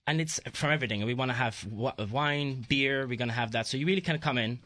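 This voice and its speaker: A man's voice: mid-range voice, fast talker, sharp tone, no echo. A man with a Belgian accent chatting enthusiastically and at some length as a restaurateur being interviewed in a radio studio. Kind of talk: casual radio interview chat.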